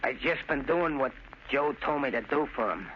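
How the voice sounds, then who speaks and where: A man's voice: medium pitch, animated, normal speed, close to an everyday voice. An actor playing a shell-shocked young killer devoted to his mother, simple and obedient, with plain uneducated speech, in old radio style. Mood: uneasy, intent. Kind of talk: 1930s radio acting. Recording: scratchy, muffled old radio recording.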